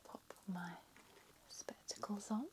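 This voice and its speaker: A woman whispering a slow, soft ASMR lecture roleplay, her voice slightly croaky. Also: mid-range voice, fairly even intonation.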